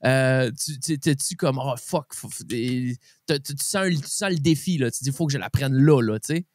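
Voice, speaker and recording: A man with a Québécois French accent hosting a casual chat, speaking in informal slang with halting, repeated starts. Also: medium pitch, no echo, clean studio sound. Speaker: podcast host.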